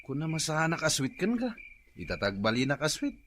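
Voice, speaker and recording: A man's voice: on the high side, very animated, brisk, fairly bright tone. A man playing a playful, hungry fish-peddler husband in a comic radio drama, teasing and a little whiny.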